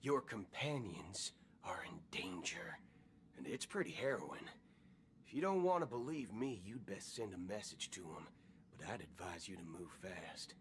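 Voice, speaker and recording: A man's voice: low-pitched, animated, moderate pace, a little hiss or hum. A man playing a swaggering, sly cowboy ranger in a video game, with a theatrical folksy drawl. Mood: grave, dramatic. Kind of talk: video game dialogue acting. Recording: game audio with music behind.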